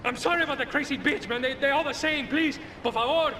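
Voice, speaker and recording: A man playing a panicky Colombian gangster in a video game, whining and stammering as he pleads. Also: quick pace. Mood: nervous, placating. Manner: whiny, stammering, begging. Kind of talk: video game cutscene acting. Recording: echoey, noisy, gunfire and rumble.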